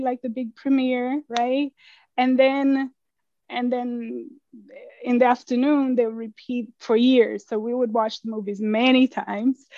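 A middle-aged woman from Brazil, a writer answering interview questions in a thoughtful, halting way, restarting phrases and laughing softly. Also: on the high side, dry recording, dull audio. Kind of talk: casual interview conversation.

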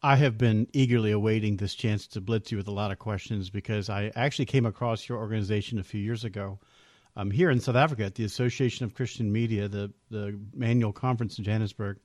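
A man's voice: on the low side, steady tone, fast, a little mellow, no room sound, clean studio sound. A man hosting a faith podcast, giving an earnest, upbeat scripted introduction and then interviewing a guest. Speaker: podcast host.

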